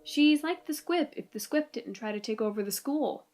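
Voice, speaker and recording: A woman's voice: on the high side, lively intonation, normal speed, a little mellow, lightly acted. A woman playing an anxious, sarcastic teenage boy who narrates his own story in an amateur comic dub. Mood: narrating. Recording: amateur old-device recording, music behind. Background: video game music.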